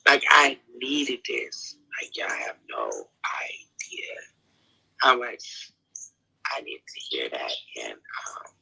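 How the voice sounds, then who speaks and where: Teary, breathy whispering guest. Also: mid-range voice, wildly swooping pitch, takes it slowly, bright, forceful tone, poor audio. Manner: emotional, whispery, gushing.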